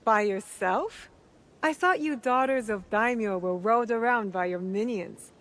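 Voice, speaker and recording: A woman's voice: fairly high pitch, measured pace, fairly bright tone, slight background noise. A woman playing a blunt, wary young shinobi in a video game, with dry, terse delivery. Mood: playful irritation. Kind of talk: video game cutscene voice acting.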